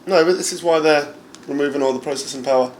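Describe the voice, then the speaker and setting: A man co-hosting a casual podcast, with a gravelly, rough-throated voice and an eager, teasing way of asking questions. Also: on the high side, fast talker, a little hiss or hum, low-quality recording. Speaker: co-host. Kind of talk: casual chat.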